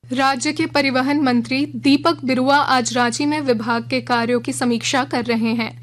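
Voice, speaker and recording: A woman reading a regional radio news bulletin in a measured, formal way from a studio. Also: high voice, fairly even intonation. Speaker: news reader.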